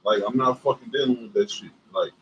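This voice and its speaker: A man with a deep voice and a Southern US accent, co-hosting a couples livestream and talking bluntly with lots of swearing and fillers. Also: fairly quick, muffled recording, slight background noise. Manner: profane and emphatic, many fillers. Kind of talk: casual livestream chat.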